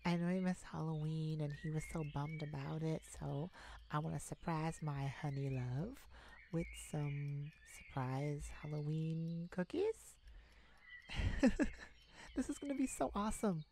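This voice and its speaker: A silly, sweet young boyfriend voiced by a woman in an ASMR romance roleplay, talking slowly and hesitantly in a lowish voice. He is gushing, bashful and giggly with his partner. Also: mellow. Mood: hushed excitement, then excited. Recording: clearly noisy, ambient sounds behind.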